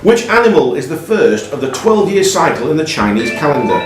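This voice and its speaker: Quiz master in an echoey pub. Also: male voice, a little high, big pitch swings, fairly quick, far from the mic. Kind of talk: reading quiz questions and ruling on answers. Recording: roomy, echoing pub with music behind.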